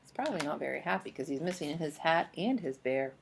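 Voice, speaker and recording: A woman's voice: deep voice, animated, fast, vocal fry, a little hiss or hum. A woman teacher leading a children's read-aloud lesson, explaining in an encouraging way and asking guiding questions. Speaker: speech-language teacher.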